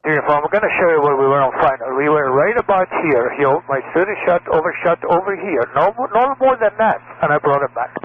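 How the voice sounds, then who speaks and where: A man's voice: on the high side, animated, quick pace, few pauses. Tinny radio voice, insistent male pilot. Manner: insistent, defensive, stumbling and repeating himself. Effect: aircraft radio. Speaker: flight instructor and pilot. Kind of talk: argumentative radio exchange. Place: small aircraft cockpit. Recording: narrow, crackly air traffic radio transmission.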